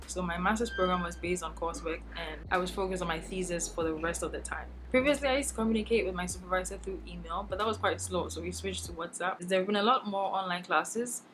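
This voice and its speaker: A woman from Ghana, a master's student, describing her experience steadily and calmly. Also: lowish voice, a little hiss or hum, low-quality recording. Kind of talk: personal testimony.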